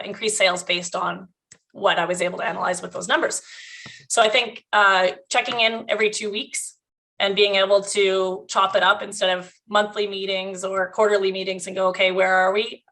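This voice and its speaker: A woman's voice: medium pitch, fairly bright tone, rough recording. A woman business owner chatting on a video call, her voice slightly worn, restarting sentences and laughing often. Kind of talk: casual interview chat.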